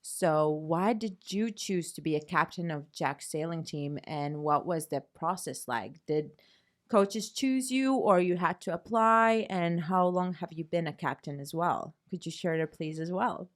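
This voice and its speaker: A woman's voice: lowish voice, lively intonation, a little slow. A young woman hosting a student podcast, politely asking her guests several questions at once. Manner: polite, asks stacked questions. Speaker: podcast host. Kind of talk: interviewing guests.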